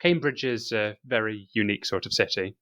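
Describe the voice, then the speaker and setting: Young guy, lowish voice, measured and dry, close dry mic. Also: fairly bright tone, dry recording. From Norfolk, England. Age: 20s. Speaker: podcast guest, history postgraduate. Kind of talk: casual chat.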